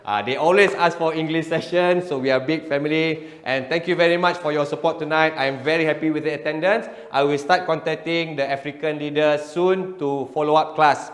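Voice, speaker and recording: A man with a Malaysian accent speaks over a video call, sliding between product talk and friendly jokes. His voice sits a touch high. The sound rings with long reverb, as if from a hard, bare room. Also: a bit bright. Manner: friendly, joking, mixes in Malay phrases. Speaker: business development manager presenting a product. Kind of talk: online product presentation and class wrap-up.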